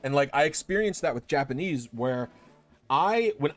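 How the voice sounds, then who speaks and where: A man's voice: a little high, expressive, normal speed. A young man chatting casually on a stream, rambling with many restarts and fillers. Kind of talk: casual chat.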